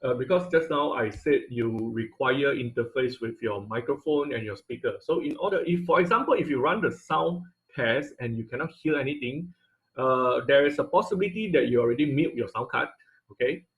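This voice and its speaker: A man's voice: mid-range voice, animated, fairly quick, fairly bright tone, low-quality recording. A man teaching an online robotics class over a video call, explaining step by step with many fillers and restarts. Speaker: instructor. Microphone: computer mic on video call.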